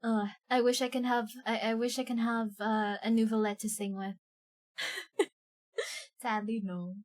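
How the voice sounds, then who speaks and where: A woman's voice: a little high, little pitch movement, unhurried. A woman streaming a video game live, reading its text aloud and chatting casually. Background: game music.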